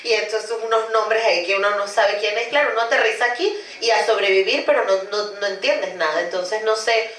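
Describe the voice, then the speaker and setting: A woman's voice: medium pitch, fairly quick, fairly bright tone. Venezuelan-born woman hosting, roomy distant sound. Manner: talks in long, reflective runs with many "¿no?" tags. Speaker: podcast host. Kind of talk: casual conversation.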